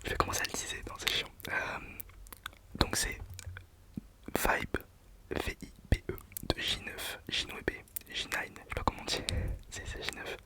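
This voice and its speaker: A young man whispering a casual, self-mocking countdown of his favourite songs for his channel. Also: normal speed. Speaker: channel host. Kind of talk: whispered ASMR music countdown.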